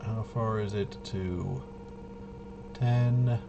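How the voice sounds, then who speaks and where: Slow-talking man with a lowish voice, muttering. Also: fairly even intonation, gravelly, warm tone, lo-fi sound, a little hiss or hum. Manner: halting, muttering to himself as he works things out. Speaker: tabletop RPG player and presenter. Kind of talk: casual solo gameplay commentary, thinking aloud.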